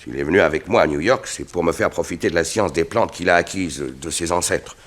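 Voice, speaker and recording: An actor playing a shrewd, self-serving scientist and secret doper in a 1960s French radio drama, articulate, emphatic and self-possessed. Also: male voice, sits low, fairly quick. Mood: explaining. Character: shrewd, self-serving male scientist who secretly makes horse dope.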